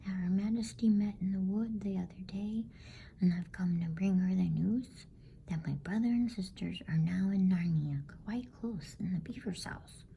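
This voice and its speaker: A woman's voice: medium pitch, normal speed, gravelly, dark tone, slight background noise, voice pushed up, lightly acted. A woman voicing a frightened, polite young boy in a bedtime storybook, trembling as she whispers. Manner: trembling, stammering.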